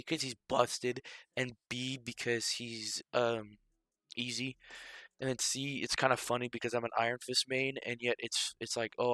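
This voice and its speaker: A young man talks into a close, dry microphone in a relaxed stream ramble. His voice sits at a middling pitch, slightly stuffy from a cold, and he keeps breaking off and restarting with fillers. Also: dry recording. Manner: loose, filler-heavy, self-interrupting. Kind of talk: casual rambling stream commentary.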